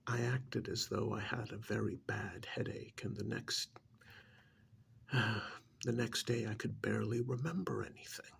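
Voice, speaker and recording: A man playing an arrogant, contemptuous ancient alien in an ASMR roleplay, speaking in a gravelly, breathy half-whisper with deliberate, condescending pauses. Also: fairly low pitch, fairly bright tone, heavily acted. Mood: sly, self-satisfied. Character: arrogant ancient alien stranded in a human body, contemptuous and menacing.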